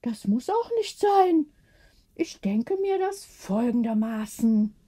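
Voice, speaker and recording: Woman playing a high-voiced, animated old lady. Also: slow pace, husky, heavily acted. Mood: assured. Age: older. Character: lively, confident elderly lady neighbour. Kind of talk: acted audiobook dialogue.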